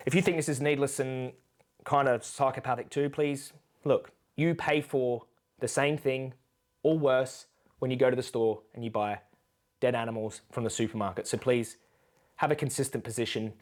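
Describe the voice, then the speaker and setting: A man's voice: mid-range voice, fairly even intonation, normal speed. A man giving unscripted reaction commentary as an animal rights advocate, earnest and admonishing, often posing rhetorical questions.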